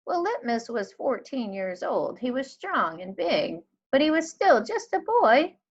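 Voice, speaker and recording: Elderly female librarian, played by a woman reader, fond dramatic storybook teller, unhurried and emphatic, dull recording. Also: high voice, very animated, slightly rough, pitched above the performer's own voice, heavily acted. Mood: storytelling. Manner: slow, drawn-out, emphatic phrasing. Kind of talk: storybook read-aloud.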